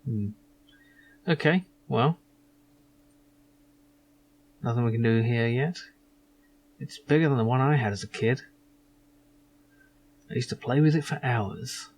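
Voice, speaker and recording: A man with a husky voice hosting a casual video game playthrough, rambling through his asides. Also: mid-range voice, measured pace, pauses often, a little mellow. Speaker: gaming video host. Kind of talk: casual playthrough commentary, reading game dialogue aloud.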